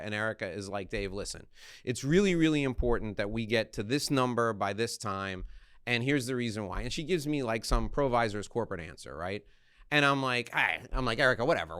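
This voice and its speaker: A man's voice: mid-range voice, fairly bright tone, smooth. A man hosting an interview podcast, telling anecdotes in a chatty, informal way. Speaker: podcast host.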